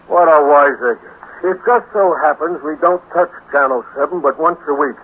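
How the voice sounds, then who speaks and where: Grumbling, higher-pitched radio dad. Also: male voice, moderate pace, breathy edge. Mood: gruff, distracted. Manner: grumbling, scoffing, blunt, slangy. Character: grumpy, dismissive space-cop father of a teenager. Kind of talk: 1950s radio drama acting. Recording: scratchy, tinny 1950s radio recording with hiss.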